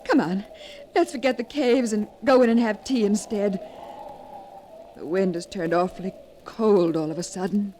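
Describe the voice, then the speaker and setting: An actress playing an affectionate but uneasy wife, earnest and refined, in a 1940s radio drama. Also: female voice, mid-range voice, moderate pace, lightly acted. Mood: anxious, tender. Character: anxious, affectionate young wife of a painter. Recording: faintly noisy 1940s radio recording.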